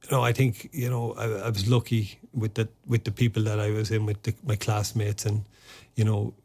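A man's voice: lowish voice, level tone, brisk, darkish tone, husky. An Irish man in his thirties, an army officer being interviewed, answering reflectively with frequent ums and restarts. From Ireland. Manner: hesitant, many restarts and ums.

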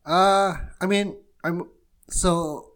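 A man from Malaysia co-hosting a casual film podcast, relaxed and laughing easily, trailing off quietly at the ends of phrases. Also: high voice, drawn-out words, smooth. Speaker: podcast co-host.

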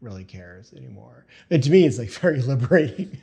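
A man's voice: medium pitch, very animated, fairly quick, creaky, dark tone. A middle-aged man from East Tennessee, a filmmaker chatting in an interview, rambling with many fillers and restarts. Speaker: filmmaker guest. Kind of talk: casual interview chat.

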